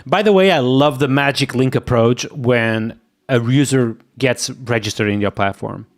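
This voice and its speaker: A man with a Portuguese accent, a native Portuguese speaker, streaming live as he codes. He thinks aloud, muttering to himself and repeating quick agreements as he reads, and he sounds sick. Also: mid-range voice. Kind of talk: thinking aloud while coding, chatting with viewers.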